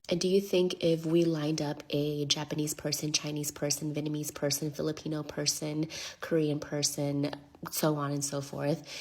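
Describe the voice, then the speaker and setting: A woman commentator speaks in pointed rhetorical questions, pressing each point home. Her voice is low and husky. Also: darkish tone.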